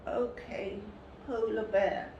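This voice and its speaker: A woman's voice: mid-range voice, fairly even intonation, slow pace, a little mellow, lo-fi sound. Lady doing a lil cheeky bear cub for a storybook, kinda sheepish n hesitant, echoey. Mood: sheepish, fibbing. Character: cheeky, tiny young male polar bear cub. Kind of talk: storybook character voice. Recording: roomy, distant mic, faint noise.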